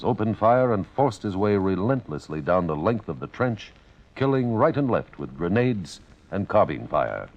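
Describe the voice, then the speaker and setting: An American man delivering scripted 1950s radio narration as an announcer, measured, solemn and dramatic. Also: low voice. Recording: dull 1950s radio recording.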